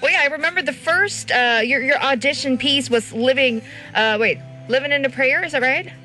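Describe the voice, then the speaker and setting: Woman, radio studio host, bright, bubbly, faint music behind. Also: high voice, normal speed. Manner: bubbly, enthusiastic, laughs often. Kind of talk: radio interview.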